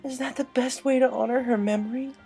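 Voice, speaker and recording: Woman playing a guilt-ridden young pony girl: pitched high, halting, pleading, loyal, for a cartoon story with music underneath. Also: fairly even intonation, warm tone. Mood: tearful, desperate.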